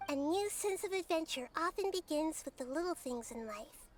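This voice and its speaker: A woman voicing a gentle, wise young girl deity in a video game who speaks softly and thoughtfully. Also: high-pitched, expressive, moderate pace. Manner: soft, thoughtful, patient counselling. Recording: game audio with music behind.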